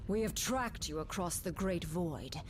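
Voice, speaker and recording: A woman's voice: on the low side, lively intonation, a little slow, slight background noise. An actress playing a cold, ruthless alien warrior woman in a video game, speaking with haughty, formal threat. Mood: threatening. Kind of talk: video game cutscene acting. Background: loud orchestral game score.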